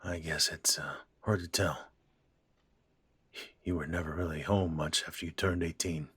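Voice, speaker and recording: A man playing a blunt, weary aging father who sighs and speaks slowly. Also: very deep voice, fairly even intonation, a bit bright, breathy edge, full character voice. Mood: reproachful. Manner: blunt, sighing, slow-spoken.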